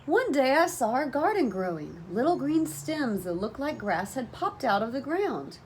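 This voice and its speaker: Female storybook reader, teacherly and expressive, widely varied pitch, some noise in the recording. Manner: animated, deliberate phrasing for children. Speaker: teacher reading a storybook aloud. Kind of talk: expressive children's storybook read-aloud. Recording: noticeable background noise.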